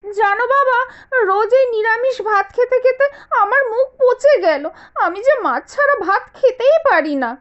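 A woman voicing a spoiled, sharp-tongued young daughter-in-law from a rich family in a cartoon, scornful, complaining and demanding. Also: extremely high pitch, lively intonation, moderate pace. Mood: whiny. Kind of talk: Bengali cartoon voice acting. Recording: dull lo-fi audio with music behind.

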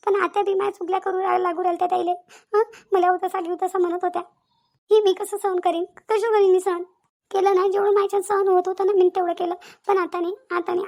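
A woman's voice: extremely high pitch, vocal fry, a little mellow. A woman voicing an aggrieved young daughter-in-law in a cartoon family drama, insistently repeating herself. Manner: repeats phrases insistently, rhetorical questions. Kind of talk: Marathi cartoon family comedy-drama acting.

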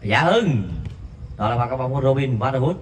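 A man's voice: animated, fairly quick, crowd noise. Distant male amateur football commentator. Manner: reads viewer messages between plays, jumps sharply higher on shots. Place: outdoor floodlit five-a-side pitch at night.

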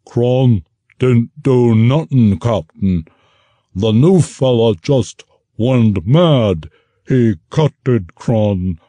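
A man voicing a hulking, dim-witted male ogre who talks slowly and with broken grammar. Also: mid-range voice, lively intonation, mellow, dry recording, pitched above the performer's own voice. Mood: pleading. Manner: slow, ungrammatical speech.

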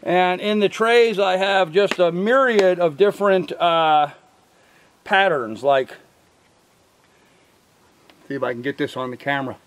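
Older man, higher than usual pitch, rambling outdoor show-and-tell, filler-heavy, camera-mic sound. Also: a little slow, long pauses, a little hiss or hum. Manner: rambling, many ums and uhs, self-correcting. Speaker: vlogger showing fishing gear. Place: outdoors by a truck in a field. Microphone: camera mic from a few feet.

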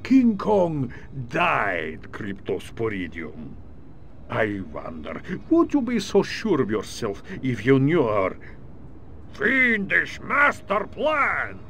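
A scheming alien villain disguised as a general, gloating in a slow, theatrical drawl. His pitch leaps wildly from low murmurs to triumphant highs. Faint game ambience hums behind the video game cutscene. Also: huge pitch swings, creaky, fairly bright tone, slight background noise, exaggerated voice.

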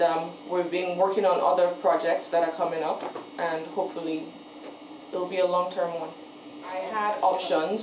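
A woman with a lowish voice, from Nigeria, talks through her work as a head chef. She sits at her office desk and speaks conversationally, often breaking off to restart a thought. The room rings noticeably, and steady background noise sits under her voice. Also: roomy sound. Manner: relaxed and conversational, with frequent restarts and self-corrections. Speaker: head chef and business owner. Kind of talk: seated interview answers. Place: office, indoors.

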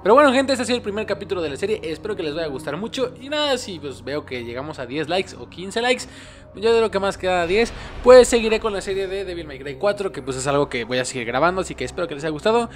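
A man's voice: sits high, animated, fast talker, slight background noise. A young man giving frantic gameplay commentary, repeating words in panic. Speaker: gaming YouTuber.

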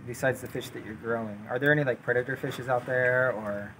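A man's voice: mid-range voice, steady tone, brisk, sharp tone. A man in his thirties chatting casually and informally, in a laid-back way. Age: 30s. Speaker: homeowner showing his building project. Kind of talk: casual chat. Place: outdoors, unfinished block building by the sea. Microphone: camera a couple of metres away. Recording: noisy outdoor recording, ambient background noise.

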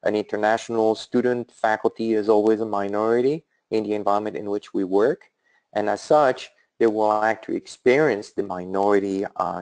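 A middle-aged man with a European accent giving a webinar talk as an academic leader, thoughtful and halting, with frequent fillers and restarts. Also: on the low side, gravelly, a little mellow, dry recording, poor audio. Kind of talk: webinar presentation.

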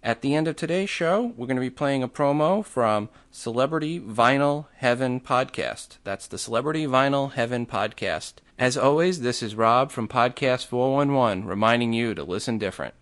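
A man delivers a podcast outro in an upbeat announcer manner. His reading is scripted and even. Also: mid-range voice, moderate pace, few pauses. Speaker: podcast host.

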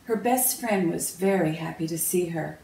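A woman reading a children's picture book aloud in a measured, expressive storytelling manner. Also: lowish voice, gravelly. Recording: roomy, distant mic, faint noise.

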